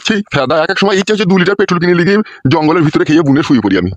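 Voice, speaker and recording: A man's voice: on the high side, expressive, racing. A man playing a crude, scolding older village father-in-law in a comic cartoon. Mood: blunt, irritated. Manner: blunt, cursing, argumentative.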